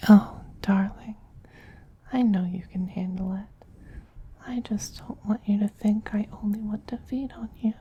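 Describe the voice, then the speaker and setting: Man as a whispering, breathy, doting vampire queen. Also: high voice, steady tone, dark tone, muffled recording, exaggerated voice. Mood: tender, gently teasing. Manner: slow, tender, coaxing endearments. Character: soothing, possessive, doting femboy vampire queen. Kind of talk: soft-spoken whispered ASMR roleplay.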